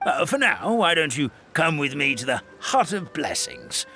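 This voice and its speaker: An old forgetful paper grandpa. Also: male voice, on the high side, sing-song, moderate pace, bright, forceful tone, full character voice. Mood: friendly. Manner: rambling, grandfatherly, dramatic storytelling. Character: old forgetful paper creature elder, gentle, fretful. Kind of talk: video game dub acting.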